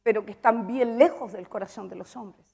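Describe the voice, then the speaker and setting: A woman preaches in a high voice and builds emphasis by repeating her lines. She stops to ask her listeners whether they follow her. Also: a little fast, gravelly, warm tone. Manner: emphatic, repeats phrases, asks the congregation questions. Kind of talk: sermon.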